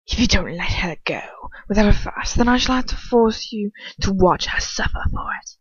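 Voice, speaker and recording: Storybook villain played by a woman: cold aristocratic man, haughty, hushed, contemptuous, lo-fi dry recording. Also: mid-range voice, expressive, moderate pace, husky, no room sound, in a whisper. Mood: cold threat.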